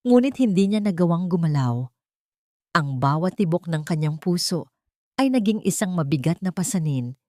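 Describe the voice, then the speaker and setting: Low-voiced woman narrating, dry sound. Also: a little mellow, no room sound. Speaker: story narrator. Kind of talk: scripted dramatic narration of a love story.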